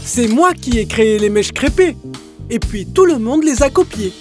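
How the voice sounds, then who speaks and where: A woman plays a theatrical, boastful children's cartoon figure. The delivery is declamatory and lively in pitch, sitting somewhat high, with music behind it. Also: normal speed, natural voice. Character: theatrical boastful hairstylist and formal contest announcer.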